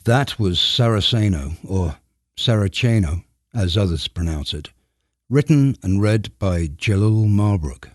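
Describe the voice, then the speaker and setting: A man's voice: lowish voice, lively intonation, unhurried, no echo. A man hosting a podcast, introducing and interviewing in a scripted style from a studio.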